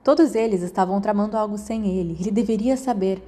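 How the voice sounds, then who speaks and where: A woman's voice: mid-range voice, fast, dark tone. A woman with a Brazilian accent reading a scripted story as narrator. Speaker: podfic narrator.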